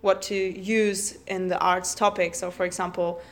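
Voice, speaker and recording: A woman's voice: on the low side, flat delivery, normal speed. A young woman, a primary school teacher, hosting a casual podcast and asking her co-hosts questions. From Germany. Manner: friendly moderator asking questions. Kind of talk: casual discussion.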